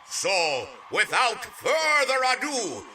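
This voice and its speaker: A man voicing a brash, showy contest emcee in an animated film. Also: sits high, slow, heavily acted. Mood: showy, hyped. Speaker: show announcer. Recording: distant, faint stage noise.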